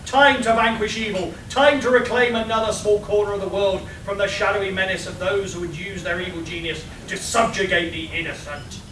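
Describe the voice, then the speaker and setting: A man playing a pompous, eager gentleman adventurer who declaims grandly in a live amateur radio play. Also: sits high, fairly even intonation, fairly quick, few pauses. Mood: heroic, grandiose. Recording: echoey, noisy live hall, distant mic.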